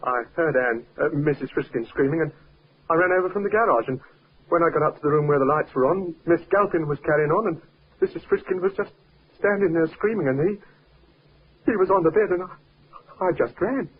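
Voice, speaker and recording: A panicky young hired boy in an old radio play. His voice is high and rough-edged, tripping over itself as he protests. The sound is boxy, narrow vintage broadcast. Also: a little fast. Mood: panicked, defensive. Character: frightened young hired boy, defensive and flustered. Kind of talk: 1950s radio drama acting. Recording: muffled 1950s radio recording.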